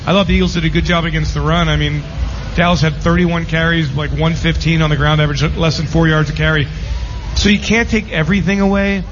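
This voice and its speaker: A man's voice: a little high, fairly even intonation, fast, fairly bright tone. A man giving casual, matter-of-fact sports analysis with firm opinions. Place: live broadcast from a casino. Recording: muffled, faintly noisy live venue recording.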